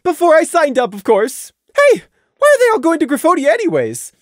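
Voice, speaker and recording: A woman voicing a bubbly, cheerful female pony officer who talks loudly and pushily. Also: sits high, huge pitch swings, brisk, no room sound, studio quality, pitched above the performer's own voice. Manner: chirpy, pushy, loud.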